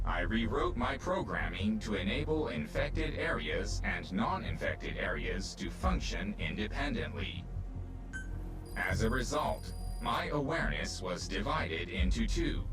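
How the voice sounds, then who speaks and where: A composed male AI lays out its findings with precise, formal wording. His low voice moves widely in pitch as he explains. It sounds a little distant, with a strong score swelling beneath it. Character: calm male AI personality, analytical and formal. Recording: distant voice under loud orchestral score.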